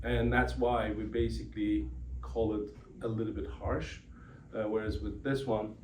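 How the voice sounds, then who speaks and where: A man's voice: lowish voice, level tone, a little mellow. Guy presenting gear at an expo, stop and start talker, roomy sound w faint noise behind him. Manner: halting delivery with frequent throat clearing. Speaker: exhibitor presenting an audio system. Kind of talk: informal product presentation. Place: exhibition demo room, indoors. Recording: roomy, distant mic, faint background noise.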